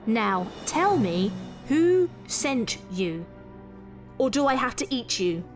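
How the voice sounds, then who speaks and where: A woman's voice: a little high, sing-song, faint music behind. Woman playing a stern, commanding female reptilian detective. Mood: menacing. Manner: slow, imperious.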